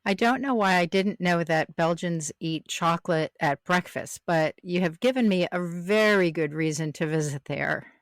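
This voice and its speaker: A woman's voice: lowish voice, moderate pace, hardly any pauses, no room sound, studio quality. A woman hosting a casual podcast interview in a conversational way.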